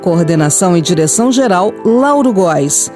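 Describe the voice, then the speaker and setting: A woman's voice: lowish voice, animated, moderate pace, darkish tone. A woman announcer with a Brazilian accent reading scripted programme credits. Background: faint music.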